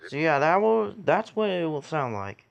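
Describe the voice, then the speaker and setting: A man giving a casual how-to tutorial in a halting way, pausing between short phrases. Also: a little high, very animated, gravelly. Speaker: tutorial video creator.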